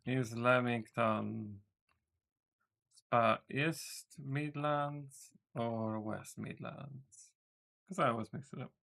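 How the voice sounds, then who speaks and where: A man's voice: mid-range voice, extremely slow, creaky, long pauses, fairly bright tone. A man streaming as a game developer, chatting casually with his viewers in a rambling way, correcting himself and swearing offhandedly. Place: home room.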